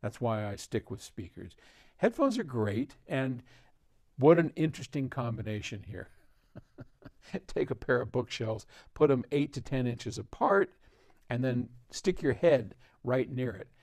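A man's voice: medium pitch, sing-song, a little slow, a little mellow, dry recording, clean studio sound. An older man hosting a casual talk to camera, answering a viewer's question in a chatty, rambling way with frequent laughs. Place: large listening room with speakers.

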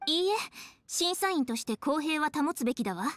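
Poised, high-voiced young lady. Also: lively intonation, fairly bright tone, dry recording. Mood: resolute. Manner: measured, formal. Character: poised, serious young noblewoman, dutiful and composed. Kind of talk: Japanese anime game voice acting.

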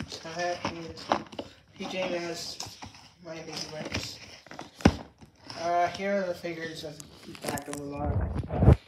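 A woman chatting casually and unscripted about toys, in a halting, repetitive way that trails off. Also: deep voice. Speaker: toy reviewer. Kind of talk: casual unscripted toy review chat. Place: indoor room with hard tiled floor. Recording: echoey room, background noise, clicks.

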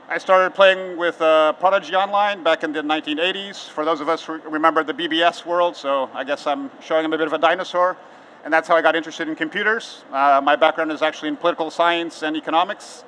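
A man's voice: a little high, a bit bright, slight background noise. A Portuguese man in his forties with an American accent, giving a conference talk on an outdoor stage in a loose, conversational way with fillers and restarts. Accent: American English. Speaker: conference speaker.

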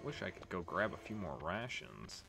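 A man's voice: deep voice, sing-song, moderate pace, creaky. A man giving casual, swear-prone gameplay commentary as the host of a let's play. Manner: profane, exasperated when struggling. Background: video game music.

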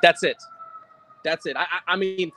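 A rambling man walks through his fishing gear on a video call, full of "um"s and loose swearing. His voice runs high for a man, with faint background noise around him. He talks from outdoors at night into a phone. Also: normal speed, sharp tone. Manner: rambling, many fillers, swears casually. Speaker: guest angler. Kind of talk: casual gear walkthrough.

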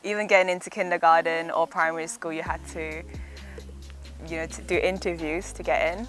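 A woman's voice: fairly low pitch, steady tone, brisk, a bit bright, soft background music. A young woman raised in Hong Kong with a slightly American accent, talking casually and reflectively about her childhood in a garden interview. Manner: chatty, reflective, laughs easily. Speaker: interviewee, singer-songwriter. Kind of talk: relaxed documentary interview. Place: outdoors in a back garden.

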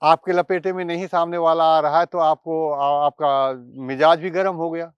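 A man, giving halting explanatory commentary to camera with deliberate pauses. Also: a little high, fairly even intonation, smooth, dry recording, lo-fi sound. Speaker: presenter. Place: outdoors by water and trees.

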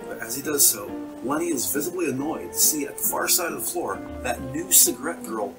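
A man narrates a scripted detective story in the present tense. His voice is mid-pitched and sits rather far from the mic, with guitar music clearly behind it. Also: expressive, a little fast.

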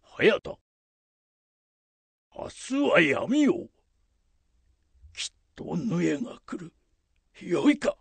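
Man acting a gravelly samurai lord, stern and commanding. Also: fairly high pitch, sing-song, no room sound, full character voice. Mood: grave, urgent. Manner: archaic, authoritative, deliberate speech. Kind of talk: period radio drama acting.

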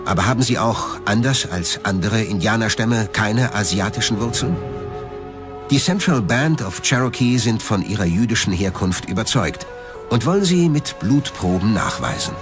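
Male documentary narrator, low and lively. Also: normal speed. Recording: music bed under the narration. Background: background music.

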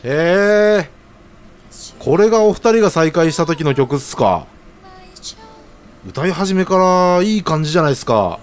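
Actor as an earnest, animated young man. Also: fairly high pitch, unhurried, pauses often. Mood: eager. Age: young adult. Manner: casual, deferential junior's slangy speech. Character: earnest, hesitant young man unsure about marriage. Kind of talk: audio drama dialogue.

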